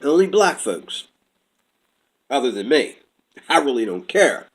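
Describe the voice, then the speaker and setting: A man's voice: medium pitch, sing-song, a little slow, slightly rough. A man giving a casual, rambling commentary monologue, talking from notes and drifting off topic, on faith and identity. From United States. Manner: rambling, talks from notes, puts on quoted voices.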